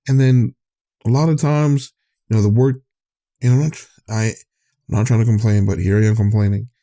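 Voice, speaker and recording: Low, dark-voiced man rambling candidly. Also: fairly even intonation, normal speed. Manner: candid, rambling, trails off and restarts, laughs often. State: voice getting tired. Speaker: podcast host. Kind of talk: casual rambling monologue.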